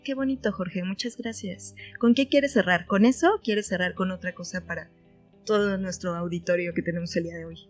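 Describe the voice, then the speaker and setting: A woman's voice: lowish voice, fairly even intonation, a little fast, soft background music. A woman with a Mexican accent hosting a podcast, interviewing in casual conversation. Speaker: podcast host.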